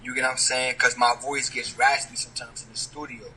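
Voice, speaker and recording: A man's voice: medium pitch, little pitch movement, brisk, pushed and bright, slight background noise, rough recording. A man, a rapper talking casually in an interview, leaning on street slang and asking "you get what I'm saying?". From New York.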